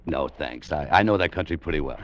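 Deep-voiced, wry tough adventurer. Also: male voice, expressive, a little fast. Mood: casual, guarded. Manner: sardonic, casual, slangy. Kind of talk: 1940s radio drama acting, first-person narration. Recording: muffled, noisy vintage radio recording.

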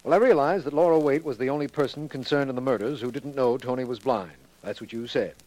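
A man's voice: medium pitch, fast talker, a little mellow, no echo, natural voice, rough recording. An actor with an American accent playing an earnest, plain-spoken official who questions his detective friend in a 1940s radio drama. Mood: curious, satisfied. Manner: plain-spoken, inquiring, matter-of-fact. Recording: vintage 1940s radio recording.

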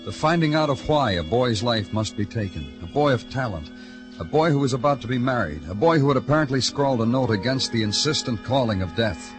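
An actor playing a hard-boiled police detective in an old radio drama, clipped and brooding. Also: male voice, medium pitch, animated, a little fast, faint music behind, natural voice. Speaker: detective and narrator. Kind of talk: 1950s radio noir narration and acting.

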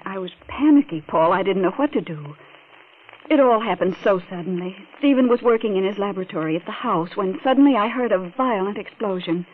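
An actress playing an anxious, placating wife in a 1940s radio melodrama, earnest and pleading in manner. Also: female voice, mid-range voice, natural voice. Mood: uneasy. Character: anxious, placating wife hiding her worry. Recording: scratchy, narrow-band 1940s radio recording.

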